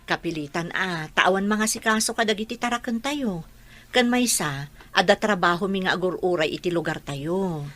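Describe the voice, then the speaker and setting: A woman playing a gentle, resigned rural mother in a radio drama, earnest and reassuring, with an Ilocano accent. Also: on the low side, moderate pace, lightly acted. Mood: apologetic, reassuring. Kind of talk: Filipino radio drama acting.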